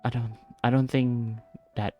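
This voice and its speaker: A man giving casual playthrough reactions as a game streamer, talking back to the characters with soft interjections. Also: medium pitch, little pitch movement, a little slow, lo-fi sound, faint music behind. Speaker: gameplay streamer.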